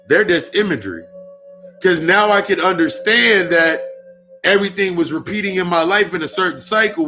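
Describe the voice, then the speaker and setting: Thirties guy, kinda high bright voice, cussing and repeating himself, faint music behind. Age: 30s. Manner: profane, repetitive, emphatic. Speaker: spiritual speaker. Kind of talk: casual spiritual monologue.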